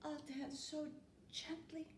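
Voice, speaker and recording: Lady doing a eager 8 yr old girl from a storybook, high voice, sweetly pleading, bit echoey. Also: level tone, takes it slowly, slight background noise. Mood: soft promise. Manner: earnest, wheedling. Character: eager, pleading eight-year-old granddaughter, polite and persistent. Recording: roomy, echoing room.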